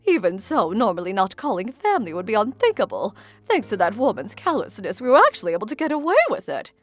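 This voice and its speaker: Woman acting an anxious, very expressive mother. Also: mid-range voice, quick pace. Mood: explaining. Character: gentle, anxious, apologetic middle-aged mother. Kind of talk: anime-style manga dub acting.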